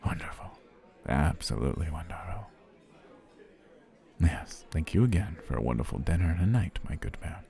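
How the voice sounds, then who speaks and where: A man playing a gravelly, obsessive potion seller. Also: very deep voice, expressive, normal speed, full character voice. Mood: adoring. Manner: soft-spoken, flowery, courtly, drifting into whispers. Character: obsessive smooth-talking male potion seller, adult. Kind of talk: ASMR roleplay.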